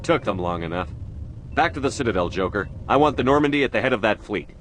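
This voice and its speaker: An actor playing a hard, resolute military commander in a sci-fi video game, terse and blunt. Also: male voice, fairly low pitch, animated, quick pace, noisy background, natural voice. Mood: firm, angry. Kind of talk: dramatic video game acting.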